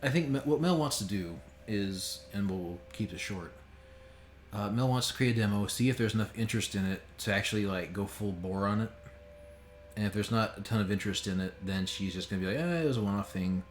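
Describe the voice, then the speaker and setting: A man's voice: on the low side, brisk. Guy streaming a game, joking and swearing, roomy echo, bit of background noise. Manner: joking, profane. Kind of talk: casual stream commentary.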